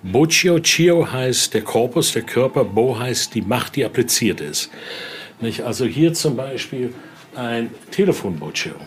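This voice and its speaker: A man's voice: mid-range voice, very animated, a little slow. A man giving an informal guided tour, explaining things and often adding small tag questions. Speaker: museum guide and voodoo expert. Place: indoor exhibition room. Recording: faint background noise on location.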